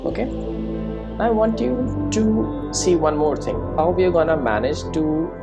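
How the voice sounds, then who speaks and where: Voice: medium pitch, very animated, a little slow, loud music behind the voice. A programming instructor giving a casual step-by-step screen-recorded tutorial, often checking in with "right?" and "okay".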